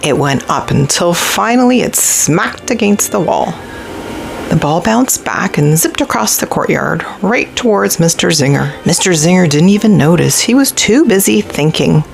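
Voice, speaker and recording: A woman reading a children's story aloud in expressive narration. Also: fairly low pitch, moderate pace, vocal fry, soft background music. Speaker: storybook reader.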